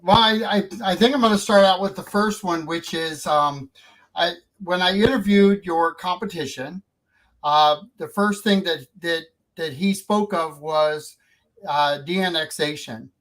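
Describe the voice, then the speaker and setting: A man's voice: sits high, a little slow, fairly bright tone, rough recording. Folksy community radio host, man. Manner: folksy, repetitive, many fillers.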